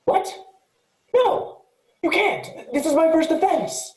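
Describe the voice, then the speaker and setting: A woman's voice: extremely high pitch, animated, slow pace, echoey room, heavily acted. A woman playing an earnest, insistent, rule-abiding programmer aboard a spaceship in a virtual staged play reading. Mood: upset, protesting.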